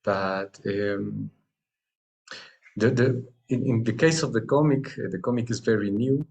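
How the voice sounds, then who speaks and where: A man from Bolivia, answering as a panelist in a halting way, often repeating words. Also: lowish voice, vocal fry, a little mellow, highs cut off.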